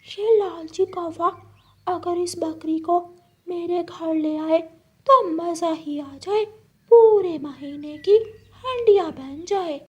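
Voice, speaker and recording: A woman voicing a sly, greedy female cartoon fox with a drawn-out, gloating manner. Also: very high-pitched. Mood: scheming. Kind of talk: cartoon story dialogue.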